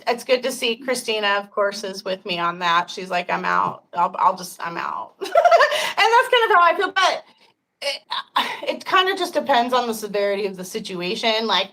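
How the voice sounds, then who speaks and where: A woman's voice: mid-range voice, bright, forceful tone. A woman in her fifties hosting a casual livestream chat, talking frankly and chattily with frequent laughter and some swearing. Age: 50s. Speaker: podcast host.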